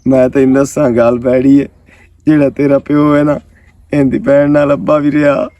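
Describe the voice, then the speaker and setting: A man's voice: medium pitch, flat delivery, moderate pace, dark tone, close to an everyday voice. A man with a Pakistani Punjabi accent playing a lonely, lovestruck rural bachelor in a comedy skit, chatty, eager and effusive with blessings. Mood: excited, teasing. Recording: roomy echo, distant microphone.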